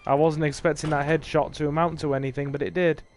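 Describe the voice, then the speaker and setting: A man's voice: a little high, little pitch movement, quick pace, soft background music. A man with an English accent, casually commentating a horror game on a livestream and chatting to his viewers. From England. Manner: chatty and laid-back, talking to viewers while playing. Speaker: gaming streamer.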